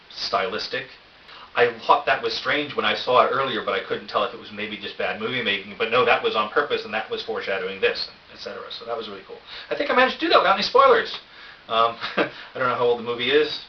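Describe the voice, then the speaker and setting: A man talking casually and ramblingly to a vlog camera, a little self-deprecating, on a cheap microphone. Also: sits low. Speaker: vlogger. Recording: roomy, distant dull camera mic with hiss.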